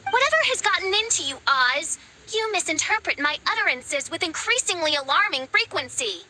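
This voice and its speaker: Very high, pompous theatrical teenage girl. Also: expressive, a little fast, pushed and bright, full character voice. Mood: haughty. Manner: grandiose, pompous, mock-archaic diction. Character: theatrical teenage girl styling herself as archaic royalty. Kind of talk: anime game voice acting. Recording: background music behind the voice.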